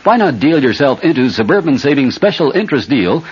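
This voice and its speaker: An American man announcing a scripted 1970s radio bank advertisement with a husky voice. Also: medium pitch, very animated, brisk. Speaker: commercial announcer. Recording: dull old radio broadcast.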